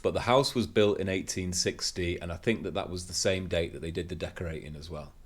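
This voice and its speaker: A man's voice: low voice, brisk. A man, co-hosting a casual podcast chat from a home workshop, rambling with lots of fillers. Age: 30s. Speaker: podcast co-host.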